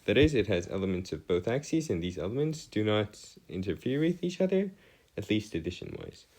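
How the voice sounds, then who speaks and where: Male tutor, low register, very varied intonation, formal and halting, explaining as he works. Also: sing-song, darkish tone. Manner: formal wording, halting, self-correcting. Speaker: math tutor. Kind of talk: improvised explanatory lesson at a digital whiteboard.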